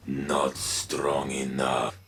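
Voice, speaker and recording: A man voicing a weary, grief-worn robot warrior in a video game, gravelly, somber and halting. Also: monotone, a bit bright, slight background noise, heavily acted. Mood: weary, sorrowful. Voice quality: very deep, gravelly. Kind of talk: cinematic video game voice acting. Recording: music behind the voice.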